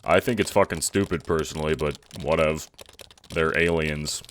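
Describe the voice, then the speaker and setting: A jaded teenage boy, acted by an audio drama performer, extremely low and deadpan. He is sarcastic, irritable and quick to swear, over faint noise in a home recording. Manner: deadpan, foul-mouthed. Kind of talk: audio drama character acting.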